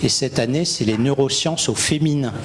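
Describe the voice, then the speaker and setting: A man's voice: medium pitch, moderate pace. A man in the audience announcing an upcoming history day. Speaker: audience member making an announcement.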